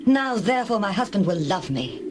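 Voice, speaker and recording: A woman's voice: mid-range voice, big pitch swings, brisk, natural voice. A woman playing a devout, unloved young wife and mother in a dramatized scripture reading, earnest and formal. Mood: hopeful yearning. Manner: earnest, formal, archaic diction. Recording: clear background noise, birdsong and doves.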